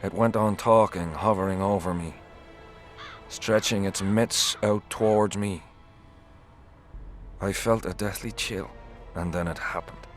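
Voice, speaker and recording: A man's voice: low-pitched, fairly even intonation, unhurried, long pauses, faint music behind. An actor playing a shaken, embittered common soldier in a video game, telling his story bluntly and dramatically. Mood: fearful, hushed. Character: shaken, embittered low-ranking soldier, humiliated and haunted.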